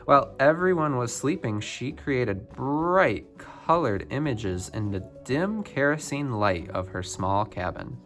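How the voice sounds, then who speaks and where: A man reading a children's picture book biography aloud, phrase by phrase with expressive emphasis. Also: medium pitch, unhurried, few pauses, soft background music. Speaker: storybook reader.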